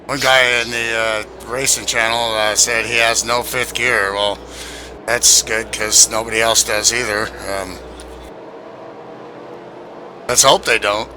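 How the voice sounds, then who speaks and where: Bright-voiced male co-commentator. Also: medium pitch, unhurried, slight background noise, low-quality recording. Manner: relaxed, hesitant with fillers. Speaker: co-commentator and racer. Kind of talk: sim racing broadcast commentary.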